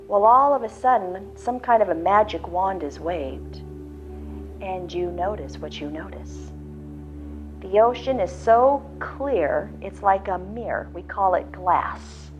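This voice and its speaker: A woman leading a guided visualization, speaking slowly and soothingly with soft pauses. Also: mid-range voice. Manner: slow, soothing, drawn-out phrasing with soft pauses. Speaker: guided meditation leader. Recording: slightly dull lo-fi audio with faint background music.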